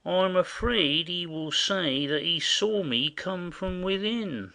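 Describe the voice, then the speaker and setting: A man playing a simple, indiscreet rustic messenger in a classic stage comedy, chatty and confiding in a secretive, halting way. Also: high-pitched, lively intonation, pushed and bright, heavily acted. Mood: wary.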